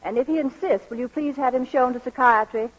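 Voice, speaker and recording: An actress playing a brisk, skeptical woman doctor, firm and clinical, in a radio romantic comedy. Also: sits high, husky. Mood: curtly official. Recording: dull lo-fi old radio recording.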